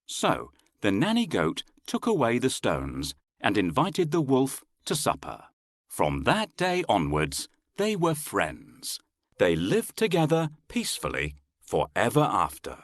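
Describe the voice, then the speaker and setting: A man's voice: medium pitch, wildly swooping pitch, a little slow, dry recording, studio quality. A man narrating a scripted children's bedtime story as the storyteller.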